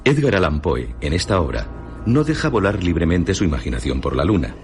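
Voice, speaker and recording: A man with a Castilian Spanish accent presenting literary radio stories in a measured, dramatic scripted narration. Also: low-pitched, sing-song. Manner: measured, dramatic storyteller delivery. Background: music behind the voice.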